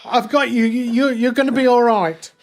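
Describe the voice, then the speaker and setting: An actor playing a shaken but protective wartime army sergeant, stammering urgently and soothingly in a radio drama. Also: male voice, very high voice, steady tone, moderate pace.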